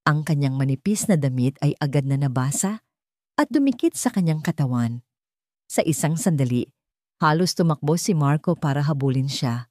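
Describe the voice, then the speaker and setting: Female audio-drama narrator, low register, mellow tone, dry recording. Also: no room sound. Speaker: storyteller narrator.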